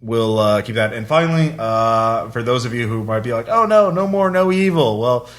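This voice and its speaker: A man hosting a podcast in casual chat, giving announcements in a rambling, halting way full of asides. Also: mid-range voice, expressive. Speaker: podcast host. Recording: clear background noise.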